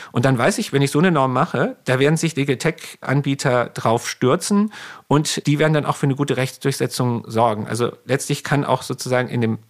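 A man being interviewed as a guest, explaining legal topics at length while thinking aloud with fillers. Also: medium pitch.

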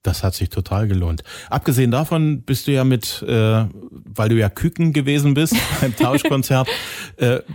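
A male interviewer asking questions in a relaxed chat, often repeating words as he searches for them. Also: mid-range voice, moderate pace, no echo, clean studio sound. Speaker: radio interviewer.

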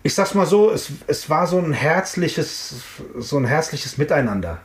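A man's voice: on the high side, sing-song, moderate pace. A middle-aged man from the Frankfurt area, reminiscing in a casual interview and rambling with lots of fillers and restarts. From Frankfurt area, Germany. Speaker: interviewee, hip-hop artist. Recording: roomy echo.

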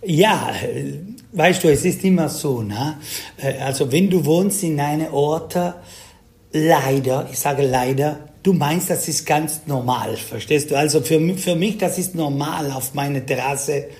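A man from South Tyrol with an Italian accent, a singer answering interview questions in halting German full of fillers. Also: fairly high pitch, a little mellow. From South Tyrol, Italy. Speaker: Schlager singer, interview guest.

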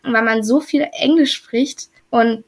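A woman's voice: on the high side, measured pace. A young woman from Germany in her twenties, chatting in an interview about her exchange year, with many fillers and restarts. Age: 20s. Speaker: returning exchange student. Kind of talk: casual interview.

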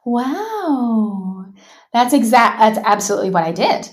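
A woman's voice: fairly high pitch, big pitch swings, unhurried. Candid, reflective woman from Canada. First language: English. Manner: frank, thoughtful, many "like" and "you know" fillers. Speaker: guest, life coach. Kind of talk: reflective personal storytelling.

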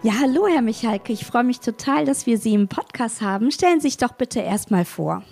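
A woman's voice: a little high, animated, a little fast, vocal fry, darkish tone, faint music behind. Upbeat woman hosting a podcast. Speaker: podcast host and PR lead. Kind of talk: business podcast interview.

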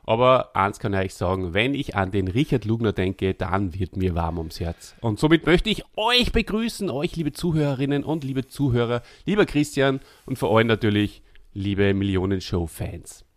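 A man's voice: fairly low pitch, sing-song, moderate pace, no echo. A man with an Austrian German accent co-hosting a casual podcast, rambling and joking in a mock-solemn way. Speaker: podcast co-host.

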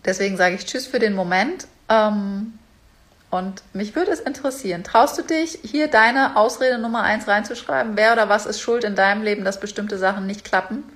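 A woman's voice: medium pitch, normal speed, fairly bright tone. Female vlogger, casual coaching monologue, speaks straight to the viewer. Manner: chatty, direct, addresses viewer as du. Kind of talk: casual motivational talk to camera.

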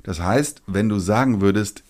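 A man's voice: lowish voice, normal speed, a little mellow. A middle-aged man hosting a casual podcast, cracking ironic jokes and explaining things like a teacher. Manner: joking, ironic asides. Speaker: podcast host. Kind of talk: casual chat.

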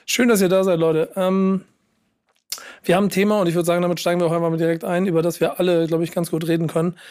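A man hosting a casual podcast, sounding hoarse and under the weather. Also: high voice, level tone, quick pace, darkish tone.

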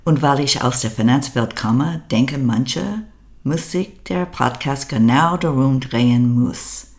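An older American woman with a very deep voice speaks slowly and deliberately, her accent clear as she hosts a podcast. She reads a prepared monologue in an even, measured way. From United States. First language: English. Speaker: podcast host.

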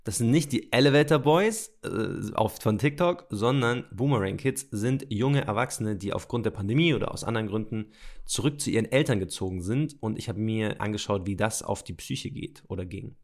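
A man co-hosting a podcast and working as a journalist, talking in a relaxed, conversational way and then narrating from a script. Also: fairly low pitch, normal speed.

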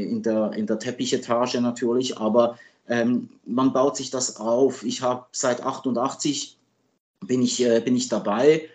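A man in his fifties from Switzerland, a native French speaker, answering as a guest expert in a halting, conversational way. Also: mid-range voice, darkish tone. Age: 50s. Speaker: sports journalist and guest expert.

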